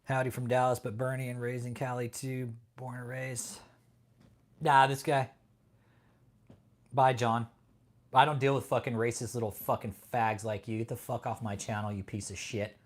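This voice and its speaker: A man's voice: medium pitch, level tone, moderate pace. A man from California hosting a live stream, reading chat and taunting a troll with heavy swearing and laughter. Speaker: livestream host. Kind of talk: casual livestream chat.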